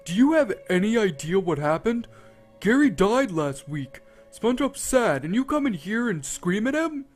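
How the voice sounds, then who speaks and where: A man voicing a dim adult male starfish who shouts. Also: high voice, big pitch swings, measured pace, soft background music, pitched above the performer's own voice. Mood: angry.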